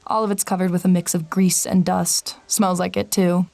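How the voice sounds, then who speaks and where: An actress playing a wary, sardonic traveler in an audio drama, speaking in a dry, skeptical way. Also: female voice, lowish voice, flat delivery, normal speed, gravelly, darkish tone, no echo. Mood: wry, observant. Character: Amber, wary sardonic traveler narrating a strange road trip. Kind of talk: audio drama dialogue and first-person narration. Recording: music bed behind voice.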